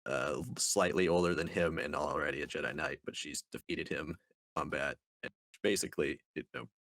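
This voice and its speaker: Male podcast co-host, extremely low register, dry studio-like sound, conversational analysis. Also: brisk, a bit bright, dry recording. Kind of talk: casual book review discussion.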